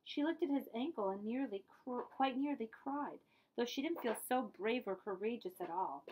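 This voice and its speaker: A woman's voice: fairly high pitch, lively intonation, moderate pace, a little mellow, slight background noise, poor audio. A woman reading a children's picture book aloud as a librarian, with dramatic storytelling emphasis and friendly asides.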